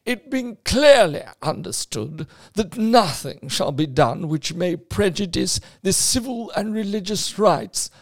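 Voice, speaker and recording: A pompous, plummy British official , as a podcaster puts him on, reads a formal declaration in a stately voice. He pitches it high and drags the words out slowly. The sound is close and dry, with no room around it. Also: expressive, no room sound, full character voice. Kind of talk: podcast monologue.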